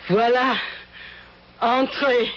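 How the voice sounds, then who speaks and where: A woman's voice: on the high side, steady tone, very slow. An actress playing a wealthy, fanciful older female recluse in old-time radio drama, with an affected, languid, grand way of talking. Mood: grand, gracious. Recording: muffled, hissy old radio recording.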